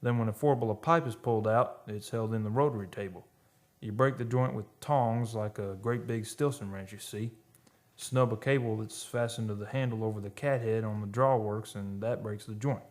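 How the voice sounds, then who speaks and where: A man's voice: on the low side, fairly even intonation, normal speed, a little mellow, natural voice. An American man playing a plain-spoken, easygoing oil rig hand in a radio play, talking and telling his tale in a folksy, ungrammatical way. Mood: matter-of-fact storytelling. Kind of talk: radio play acting and narration.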